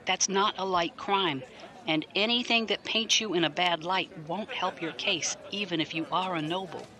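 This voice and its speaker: A man's voice: high voice, normal speed, bright, forceful tone. A man acting a probing, blunt military defense lawyer in a science fiction audio drama, pointed, articulate and wryly skeptical. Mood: stern, warning.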